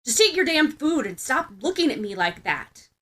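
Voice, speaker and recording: A woman voicing a gruff, hot-tempered, scowling teenage boy in a story, barking and snapping curtly. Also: high voice, big pitch swings, normal speed, a bit bright, voice pushed up. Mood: irritated, barking. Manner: barking, snapping, curt and sweary.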